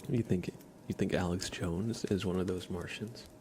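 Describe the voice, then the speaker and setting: A soft-spoken man hosting an ASMR livestream chat, hesitant and often slipping into whispers. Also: deep voice, steady tone, moderate pace, slight background noise. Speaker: livestream host.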